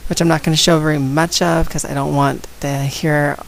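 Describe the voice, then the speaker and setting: A woman with a slight head cold and a raspy voice, talking casually and outspokenly straight to her viewers in a vlog. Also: sits low, level tone, normal speed. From San Antonio, Texas. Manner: outspoken, direct, addresses viewers. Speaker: vlogger. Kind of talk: casual talk to camera.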